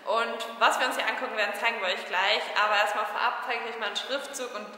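A woman's voice: fairly high pitch, fairly even intonation, quick pace, bright, forceful tone, roomy sound. A woman in her twenties talking casually to camera as a travel vlogger, explaining and chatting. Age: 20s. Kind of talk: casual vlog chat and explanation. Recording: noisy camera recording with background ambience.

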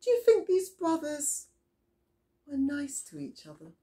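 A middle-aged woman reads a Bible story aloud for young children. Her pace is slow and deliberate, and her pitch moves in lively swings as she tells it expressively. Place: small indoor room with soft toys.

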